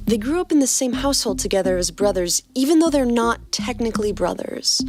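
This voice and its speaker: Woman reading fan fiction over soft music. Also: a little high. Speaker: podcast co-host and reader. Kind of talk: reading fan fiction aloud and casual banter.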